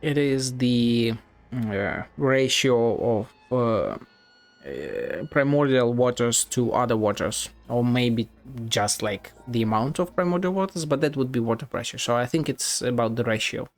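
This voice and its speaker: A man's voice: mid-range voice, lively intonation, a little slow. Offhand, blunt male game streamer. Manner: blunt, offhand, sweary musing. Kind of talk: casual playthrough commentary. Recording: game music behind the voice.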